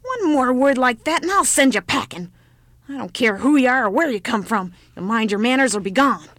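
A cantankerous old woman from a storybook, as a female narrator acts her out. She is tart, growling and indignant, scolding with a gruff peasant bluntness. The recording is dry and close. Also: medium pitch, fairly quick, a bit bright, no room sound, close to an everyday voice. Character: cantankerous old peasant woman, grumpy but secretly soft. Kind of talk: storybook character voice.

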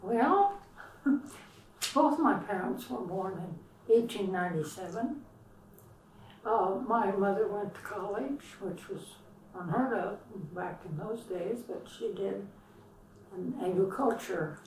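A woman in her nineties, telling her life story slowly in an oral history interview at home, with pauses and repeats. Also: medium pitch, lively intonation, darkish tone, slight background noise. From US West Coast. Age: 90s. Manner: slow, reflective, with pauses and repeats. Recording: roomy, from far off.

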